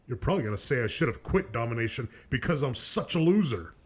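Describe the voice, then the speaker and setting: A man acts a self-loathing cartoon loser with a raspy, husky voice. His pitch jumps about a lot as he talks in a bitter, halting manner. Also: expressive. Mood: bitter, defensive. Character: foul-mouthed, self-loathing loser with a witty attitude. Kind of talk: animated web cartoon dialogue.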